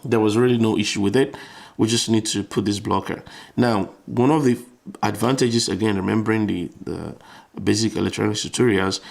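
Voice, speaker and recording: A man's voice: lowish voice, vocal fry. A man explaining electronics informally, step by step, as a tutor who repeats phrases while he demonstrates.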